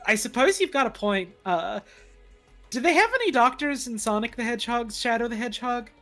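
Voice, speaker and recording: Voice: medium pitch, expressive, brisk, pushed and bright, soft background music. A voice actor chatting casually on a group stream with dry, wry humour.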